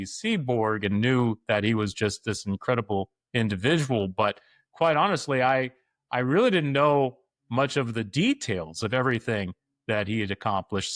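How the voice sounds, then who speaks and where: A man hosting a sports podcast, reading announcements and introducing a guest in an upbeat, smooth way. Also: medium pitch, no room sound. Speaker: podcast host. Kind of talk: scripted podcast announcements and interview.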